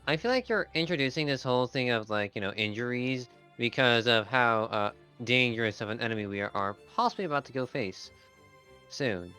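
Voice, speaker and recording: A man's voice: medium pitch, expressive, brisk, pushed and bright, clear, resonant tone, soft background music. A man playing in a tabletop game, chatting casually in a musing, slightly worried way. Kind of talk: casual chat.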